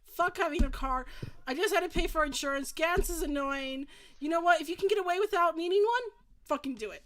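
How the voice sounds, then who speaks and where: A young woman in relaxed casual conversation, swearing freely as she talks. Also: very high voice, brisk.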